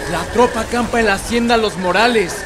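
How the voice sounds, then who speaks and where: An actor playing an eager 1910s newspaper reporter questioning generals in a radio drama. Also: male voice, sits high, fairly quick, noisy background, lightly acted. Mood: eager, formal. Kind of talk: Spanish-language historical radio drama acting. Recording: street crowd bustle behind.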